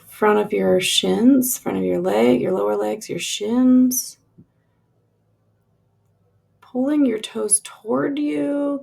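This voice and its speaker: A woman guides a relaxation meditation at a very unhurried pace. Her voice is heavy with vocal fry and has a husky, breathy edge. She gives gentle instructions in lingering phrases that soften as they trail away. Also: on the high side.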